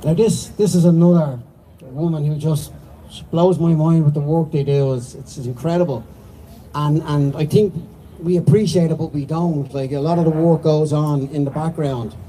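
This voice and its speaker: Chatty man, mellow high voice. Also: steady tone, normal speed, a little hiss or hum, poor audio. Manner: loose, chatty, with restarts. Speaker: rally MC. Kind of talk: casual announcing.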